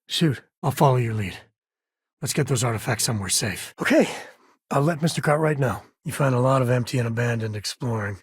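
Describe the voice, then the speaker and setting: An actor playing an easygoing middle-aged frontier ranger and dad in a space video game, husky and raspy, with a wry, plain-spoken manner. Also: male voice, mid-range voice, fairly quick, no echo, clean studio sound. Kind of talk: video game character dialogue. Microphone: close, dry studio mic.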